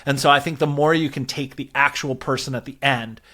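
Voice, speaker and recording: A man's voice: medium pitch, steady tone, a little fast, sharp tone. Conversational man explaining things in an interview. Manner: explanatory, often checks with "right?". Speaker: interview guest, product and growth leader.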